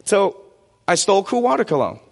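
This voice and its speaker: A man's voice: high-pitched, big pitch swings, a little fast, vocal fry. A man in his forties, a native Vietnamese speaker, preaching conversationally with personal stories and questions he answers himself. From United States. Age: 40s. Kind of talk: sermon with personal anecdotes. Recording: long hall reverb.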